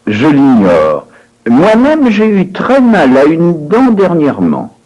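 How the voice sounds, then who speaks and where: Male narrator, slow measured delivery, lively intonation, lesson titles and dialogue. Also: on the high side, muffled recording. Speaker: language course narrator. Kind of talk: scripted language lesson narration.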